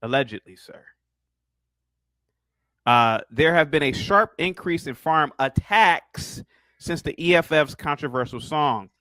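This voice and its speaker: A man's voice: a little high, measured pace, pushed and bright, no room sound. Mocking American man, dry close sound. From Ohio, United States. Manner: mocking, teasing, reads text aloud and comments. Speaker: stream host. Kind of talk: casual debate stream.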